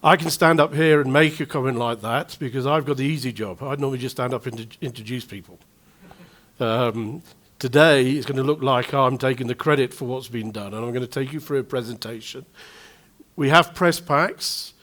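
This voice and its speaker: A man's voice: mid-range voice, normal speed, a bit bright. A middle-aged man with a Manchester accent, an event promoter giving a chatty, self-deprecating address at a press conference. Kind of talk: informal speech to the press.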